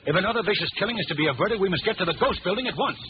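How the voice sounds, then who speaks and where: An actor playing an urgent, self-assured gentleman detective with brisk authority in 1940s radio style. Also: high-pitched, expressive, over music. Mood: brisk, focused. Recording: dull, noisy old radio recording.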